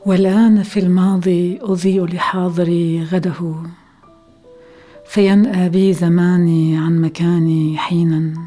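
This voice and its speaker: A woman recites poetry in a fairly low voice with a dark, mellow tone. The lines run quickly and stay very even in pitch, with faint music behind her.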